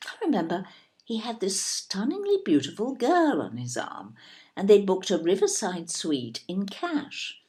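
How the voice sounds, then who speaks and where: A woman's voice: medium pitch, wildly swooping pitch, measured pace, warm tone. A woman playing a snobbish, opinionated older English upper-middle-class wife in an audio play, with a haughty, disapproving, plummy manner. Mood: reminiscing, disdainful.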